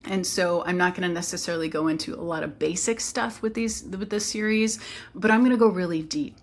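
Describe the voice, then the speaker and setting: A woman's voice: on the low side, fairly even intonation, a little fast. A woman teacher presenting her program informally, explaining earnestly with conversational asides.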